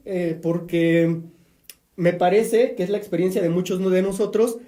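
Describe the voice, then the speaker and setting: A man's voice: sits high, warm tone, reverberant. A man with a Mexican accent, a priest hosting a live-streamed religious talk show, chatty and preachy with joking asides. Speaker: priest and program host.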